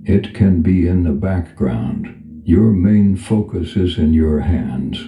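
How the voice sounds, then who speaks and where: A man leading a guided meditation as a calm spiritual teacher, speaking in a gentle, unhurried, instructional manner. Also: deep voice, narrow, tinny audio. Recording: echoey reverb over background music. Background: music.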